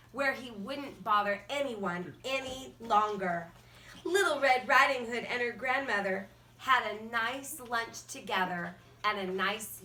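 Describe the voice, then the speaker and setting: A woman's voice: fairly high pitch, bright, forceful tone. A woman narrating a fairy tale for children as a storyteller. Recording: echoey room, distant from mic.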